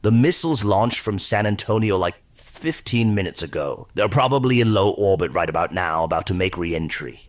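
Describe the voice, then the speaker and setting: Man acting a gloating supervillain, lowish voice, very animated, theatrical, taunting, campy radio serial. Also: moderate pace, hardly any pauses. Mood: smug gloating. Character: gloating male supervillain count, mocking and megalomaniac.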